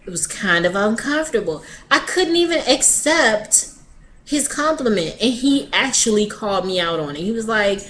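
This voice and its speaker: A woman's voice: mid-range voice, lively intonation, normal speed, fairly bright tone, a little hiss or hum. A woman in her thirties sharing a candid personal video diary, hesitant and often restarting her sentences. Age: 30s. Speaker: vlogger.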